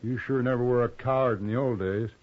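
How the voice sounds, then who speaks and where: An actor playing a cold, taunting hired gunman in a 1950s radio western, speaking in terse, unhurried lines. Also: mid-range voice, lightly acted. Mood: smug, taunting. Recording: muffled, noisy 1950s radio recording.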